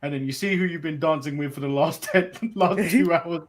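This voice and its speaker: A man's voice: a little high, brisk. A man from England co-hosting a wrestling podcast in casual, joking chat.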